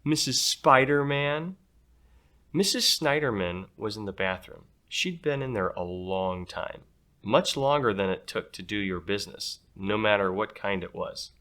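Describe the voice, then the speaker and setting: A man reading a children's story aloud in an expressive, playful way. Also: on the low side, moderate pace. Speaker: storybook reader.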